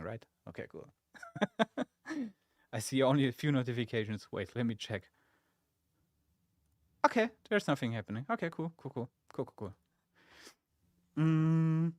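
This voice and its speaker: A young man from Germany, speaking German as his first language, hosts a casual livestream and answers viewer comments in a chatty, loose way full of fillers. His voice sits at a middle pitch and sounds close and dry, with no room sound. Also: no echo. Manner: chatty and relaxed, with many fillers and asides. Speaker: social media community manager and stream host. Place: game studio office.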